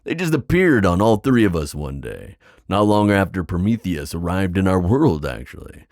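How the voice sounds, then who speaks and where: Sea god for ASMR roleplay, male actor: confident, flirtatious inventor, gravelly low register, teasing delivery, close dry sound. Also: big pitch swings, a little mellow, no room sound. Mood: wistful, candid. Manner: teasing, theatrical, drawn-out phrasing.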